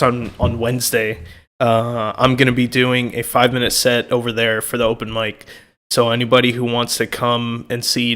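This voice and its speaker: A young man hosting a casual podcast chat, naturally loud and quick to laugh. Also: mid-range voice. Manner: naturally loud, laughing, plugs his comedy set. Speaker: podcast host.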